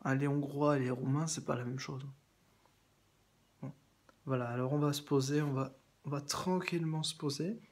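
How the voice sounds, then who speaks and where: A man's voice: mid-range voice, steady tone, moderate pace, long pauses, darkish tone. A man talking straight to one absent person in a long, meandering monologue, repeating himself and restarting often. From France. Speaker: video blogger addressing someone. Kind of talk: rambling direct-address monologue.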